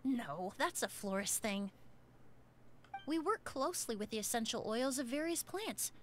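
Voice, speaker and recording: A woman's voice: fairly high pitch, animated, unhurried, a little hiss or hum. A woman voicing a polite, composed young perfumer in a video game, formal and courteous in manner. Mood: calm.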